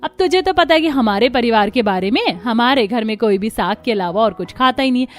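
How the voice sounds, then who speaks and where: A woman's voice: on the high side, lively intonation, fairly quick, faint music behind, natural voice. A woman playing a bossy, stubborn and proud mother-in-law in a cartoon story, with a scolding manner. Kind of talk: Hindi cartoon story acting.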